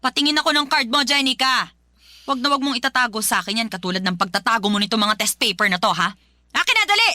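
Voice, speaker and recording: A harsh mother in a radio drama, her high voice swinging widely as she scolds. She is sarcastic and belittling, with a bright, cutting edge. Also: lively intonation, quick pace, no echo, studio quality. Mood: angry. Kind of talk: Filipino radio drama acting.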